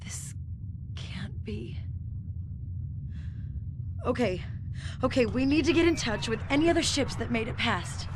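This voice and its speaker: High, animated, feisty woman starship captain. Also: unhurried, long pauses, background noise. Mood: shaken. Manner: sardonic, sceptical. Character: feisty, sarcastic female starship pilot captain. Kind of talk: video game cutscene acting.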